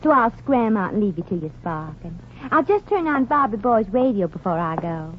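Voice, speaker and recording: A woman's voice: mid-range voice, sing-song, moderate pace, muffled recording, lightly acted. An actress playing a brassy, folksy, wisecracking young American woman in a 1940s radio comedy. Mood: breezy, folksy. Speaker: lead actress and narrator of a comedy series. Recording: noisy, band-limited old radio recording.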